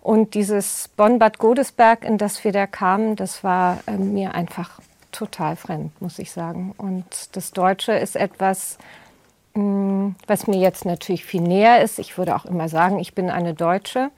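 An older woman who grew up in the United States, speaking as a podcast guest in a reflective, thoughtful way and pausing often with fillers. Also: medium pitch, steady tone, a little mellow, no echo. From raised in the United States. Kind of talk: conversational interview.

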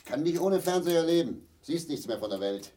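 Actor as sardonic husband, swinging pitch, noisy recording. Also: male voice, expressive, fairly quick, dark tone, lightly acted. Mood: grumbling. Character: East Berlin husband, sarcastic, beer-drinking, TV-addicted. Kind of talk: grotesque German radio play acting.

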